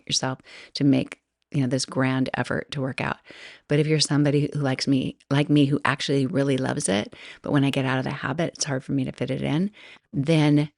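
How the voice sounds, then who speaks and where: A woman's voice: rumbling low, creaky, no echo, studio quality. A middle-aged woman hosting a solo podcast, chatting casually and rambling, often laughing and interrupting herself. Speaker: podcast host.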